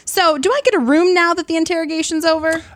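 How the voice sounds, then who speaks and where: A woman's voice: extremely high pitch, fairly even intonation, fast, fairly bright tone, dry recording. A woman playing a sardonic, impatient pulp writer in an audio play, with a dry, sarcastic manner.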